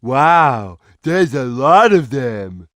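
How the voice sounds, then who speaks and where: A man's voice: medium pitch, big pitch swings, very noisy. A man voicing a big, easygoing, simple-minded cat in a video game, with a childlike drawl. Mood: simple wonder. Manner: slow-witted, childlike, drawling. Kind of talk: video game character voice lines.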